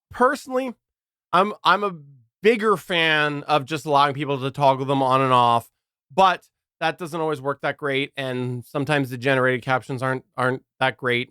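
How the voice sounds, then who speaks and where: A man co-hosting a casual podcast chat, with a loud, uneven delivery that jumps from near-mumbling to sudden bursts. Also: a little high, smooth, no room sound, clean studio sound. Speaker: podcast co-host.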